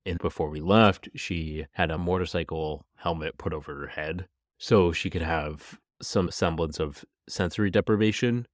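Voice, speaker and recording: Deep-voiced man with a stuffy nose. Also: moderate pace, dry recording, studio quality. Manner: chatty and apologetic, with some restarts. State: sick with a stuffy, nasal voice. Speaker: podcast host. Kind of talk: casual true-crime podcast narration.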